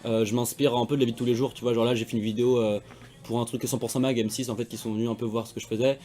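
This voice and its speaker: A man's voice: medium pitch, little pitch movement, racing, mellow. A young man from France, a magician guest, chatting casually in slangy, rambling talk. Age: young adult. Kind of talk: casual interview chat.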